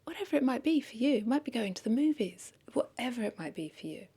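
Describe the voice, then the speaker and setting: A woman's voice: a little high, big pitch swings, quick pace, warm tone, slightly rough. A woman talking casually in an unscripted vlog, rambling and correcting herself as she thinks aloud. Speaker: content creator, vlogger.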